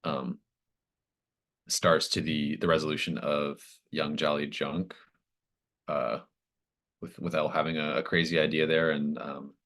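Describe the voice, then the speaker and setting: Very deep-voiced man running a game. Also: fairly bright tone. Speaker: game master of a tabletop roleplaying session. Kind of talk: casual wrap-up talk with players.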